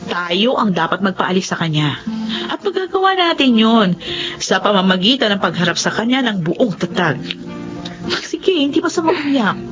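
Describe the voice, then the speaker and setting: A woman's voice: medium pitch, sing-song, moderate pace, fairly bright tone, lo-fi sound, soft background music. A woman playing a cheerful, encouraging old classmate in a radio drama. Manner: upbeat, encouraging. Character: cheerful supportive old classmate, now abroad.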